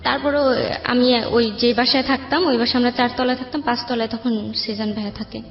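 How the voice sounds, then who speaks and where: A woman's voice: fairly high pitch, fairly even intonation, a little fast. Bangladeshi woman in an echoey room. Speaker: interview guest. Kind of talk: personal life storytelling, conversational. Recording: echoey room, dull sound, faint music.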